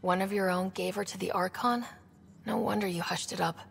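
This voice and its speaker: Earnest young space explorer. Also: female voice, medium pitch, fairly even intonation, a little fast, vocal fry, slight background noise. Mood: firm. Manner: earnest, determined. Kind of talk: naturalistic video game acting.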